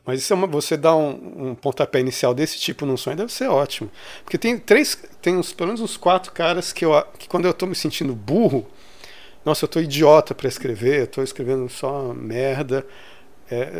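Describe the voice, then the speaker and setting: A man with a Brazilian accent chatting casually and enthusiastically as a podcast host, in colloquial language. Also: a little high. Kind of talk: casual chat about literature.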